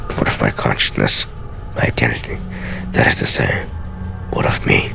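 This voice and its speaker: A man's voice: moderate pace, over music, close to an everyday voice. A man playing an aged master assassin, grave, weary and theatrical, reading out solemn journal entries from a video game. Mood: weary, brooding. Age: older. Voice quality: very deep, gravelly.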